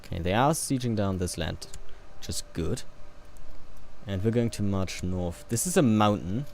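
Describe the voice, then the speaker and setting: A man's voice: on the low side, a little hiss or hum. A man giving casual gameplay commentary, offhand and joking, who reads game events aloud as he plays. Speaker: let's play host.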